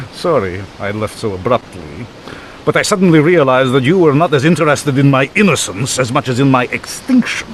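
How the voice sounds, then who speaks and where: An actor playing a suave, murderous doctor in a radio mystery, mock-courteous and gloating in a theatrical way. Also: male voice, mid-range voice, expressive, measured pace, long pauses, a little hiss or hum, close to an everyday voice, rough recording. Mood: gloating, menacing. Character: suave, taunting male doctor villain, swindling murderer. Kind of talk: old-time radio mystery acting.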